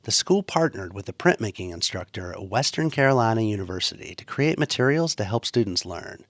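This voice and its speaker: A man hosting a public radio show, reading scripted narration in a measured, announcing way. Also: lowish voice, big pitch swings, a bit bright, no room sound, clean studio sound. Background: music.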